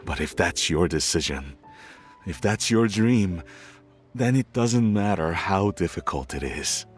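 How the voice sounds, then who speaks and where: A gruff middle-aged father in a video game. His voice sits very low and he takes his time, halting and a little awkward as he shows a tender, reticent pride. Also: expressive, faint music behind. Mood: tender, encouraging. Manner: halting, sincere, slightly awkward.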